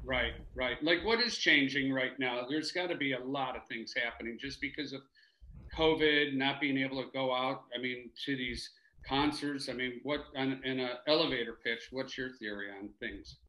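A man's voice: medium pitch, normal speed, bright, forceful tone. A man hosting a casual interview, affirming answers with repeated words and asking loose questions. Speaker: podcast host. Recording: echoey room.

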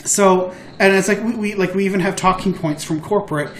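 Podcast co-host, mid-range voice, animated and sweary casual storyteller, roomy echo with some noise. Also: mid-range voice, fairly quick. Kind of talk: casual group chat.

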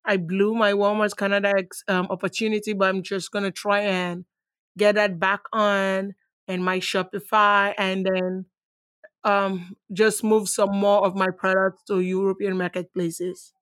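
A woman guest speaking in a lively, talkative way in an interview. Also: mid-range voice, no room sound. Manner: lively, talkative, quick bursts. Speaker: guest, online seller. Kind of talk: casual interview answers.

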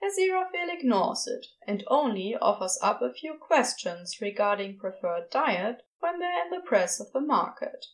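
High-pitched woman narrating a story. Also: sing-song, moderate pace, hardly any pauses.

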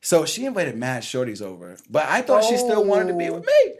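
Animated young man telling a wild story. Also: fairly high pitch, brisk, crowd noise. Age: 20s. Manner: animated, slangy, laughs through his stories. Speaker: podcast co-host. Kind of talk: casual storytelling chat.

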